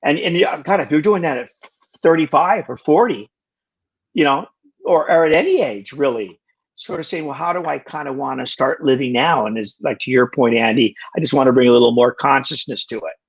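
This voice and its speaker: Halting, husky-voiced man in his sixties. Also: mid-range voice, highs cut off. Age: 60s. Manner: halting, full of restarts, wry self-deprecating humor. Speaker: podcast guest. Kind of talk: casual conversation.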